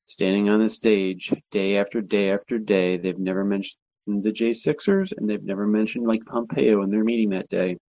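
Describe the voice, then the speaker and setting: An earnest male guest with a lowish voice, recorded in a dry, close sound. He makes a pointed complaint in an even, steady way. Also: moderate pace, no echo, low-quality recording. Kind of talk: casual talk show conversation.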